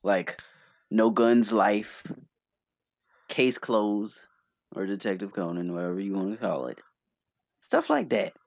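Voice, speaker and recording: Male podcast co-host, lowish creaky voice with animated swings, casual and conversational. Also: takes it slowly, long pauses, dry recording. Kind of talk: casual podcast chat among friends.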